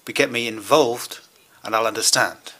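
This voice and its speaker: A man interpreting a German speech into English at a conference, with measured, hesitant phrasing and pauses. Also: medium pitch, sharp tone.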